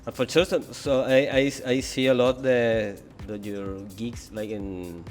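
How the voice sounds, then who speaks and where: A male interviewer with a Spanish accent and a medium-pitched voice. His English is halting and he often stops to search for words. Faint music plays in the background. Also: fairly even intonation. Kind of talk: interview host.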